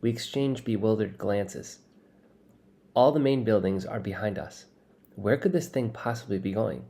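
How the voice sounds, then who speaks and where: A man reading a first-person children's novel aloud as expressive, scripted narration. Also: on the low side, normal speed, vocal fry. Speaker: audiobook reader.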